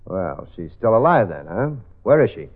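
A man's voice: lowish voice, sing-song, normal speed, no echo. An actor playing a hard-boiled male private eye who narrates in clipped, wry lines, in 1940s radio acting style. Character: tough adult male private eye, wisecracking. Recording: tinny old radio broadcast with music.

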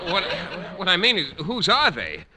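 Shy, earnest schoolmaster, hesitant and very sing-song, 1940s radio comedy, noisy recording. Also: male voice, high voice, huge pitch swings, moderate pace, pushed and bright, heavily acted. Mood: bewildered. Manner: hesitant, stammering, polite. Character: shy, earnest, naive male biology teacher.

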